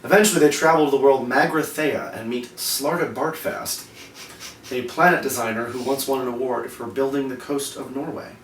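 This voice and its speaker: Male co-host, medium pitch, casual scripted explainer delivery, roomy sound with faint noise. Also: steady tone, normal speed. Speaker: co-host of a geek culture explainer show. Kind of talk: scripted presenting with casual opinion. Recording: roomy, distant mic, faint background noise.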